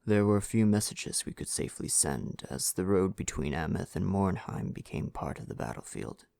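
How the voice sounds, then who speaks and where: Male actor as devoted storybook prince, ASMR roleplay: gravelly, breathy, soothing, courtly phrasing, very dry sound. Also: sits low, very animated, moderate pace, warm tone, dry recording, full character voice. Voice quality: breathy, often dropping to whispers. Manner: soothing, formal old-fashioned phrasing. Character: tender, devoted prince fiancé back from war.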